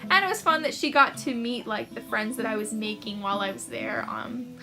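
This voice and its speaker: Young woman, American accent, high-pitched, casual storytelling, frequent laughs. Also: brisk, creaky, over music. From United States. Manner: chatty, laughs often, frequent "like". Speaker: author vlogger.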